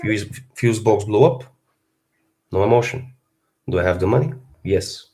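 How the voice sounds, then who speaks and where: Hoarse guy on a livestream, blunt, swears, goes on about life philosophy. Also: lowish voice, expressive, unhurried, mellow. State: hoarse from a cold. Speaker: fitness streamer. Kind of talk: casual livestream monologue.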